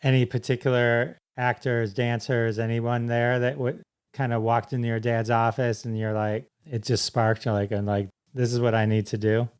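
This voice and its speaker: A man hosting a podcast with a smooth, resonant voice at a middle pitch. He asks his questions in a relaxed, chatty way, easing in with fillers. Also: normal speed. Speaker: podcast host. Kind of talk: casual podcast interview.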